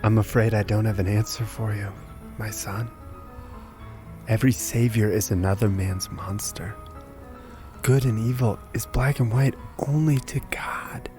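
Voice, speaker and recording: Man acting a low-voiced solemn priest. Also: fairly even intonation, unhurried, a little mellow, faint music behind, natural voice. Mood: solemn, resigned. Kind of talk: audio drama acting.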